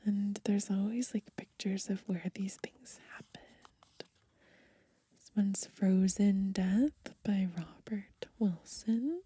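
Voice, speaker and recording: A young woman whispering in a hushed, breathy voice for ASMR, unhurriedly reading from a book and adding gently musing asides. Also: mid-range voice, slow pace. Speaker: ASMR host. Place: outdoors on grass.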